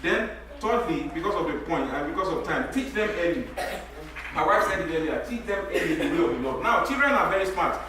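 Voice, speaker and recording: A middle-aged Nigerian man with a fairly high voice speaks on a church panel, animated and repetitive, breaking into laughter as he makes points. His voice rings through a very echoey hall, picked up from some distance, with faint room noise. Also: fairly quick. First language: Yoruba. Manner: animated, repeats words, laughs while making points. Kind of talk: lively church panel talk.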